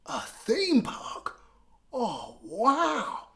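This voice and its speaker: A mischievous young schoolboy in a children's storybook, as a woman reader puts him on. He is excitable and sulky, grumbles and exclaims, and his pitch leaps about. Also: extremely slow, heavily acted. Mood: excited.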